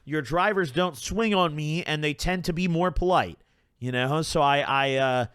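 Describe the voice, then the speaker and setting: A young man spins a rambling, profanity-laced storytime, leaning on "like" and "bro" as he goes. His voice sits slightly higher than most men's. The sound is close and dry, with no room around it. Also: normal speed, clear, resonant tone, no room sound. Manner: animated, puts on voices when quoting people. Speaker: channel host. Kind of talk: casual storytime monologue.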